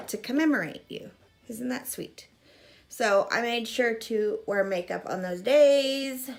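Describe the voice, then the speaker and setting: A woman's voice: mid-range voice, creaky. A woman in her mid-thirties chatting casually through a makeup tutorial, with self-deprecating asides, recorded by a fixed camera in a small room. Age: 30s. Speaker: makeup vlogger. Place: small room in front of a gray curtain. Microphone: fixed camera at arm's length.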